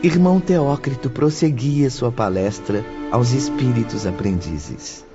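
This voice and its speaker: A man's voice: mid-range voice, unhurried. A man narrating a scripted audiobook as a storyteller. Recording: muffled audio with music behind.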